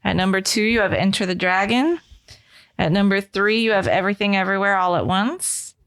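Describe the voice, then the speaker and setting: A woman's voice: mid-range voice, fairly quick, fairly bright tone, clean tone. A woman producing a podcast, reading out a ranked list steadily and plainly.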